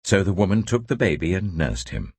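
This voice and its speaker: A man reading scripture as a dramatic scripted narration, telling the story in a measured, expressive way. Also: low voice, slightly rough, no echo, studio quality. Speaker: Bible narrator.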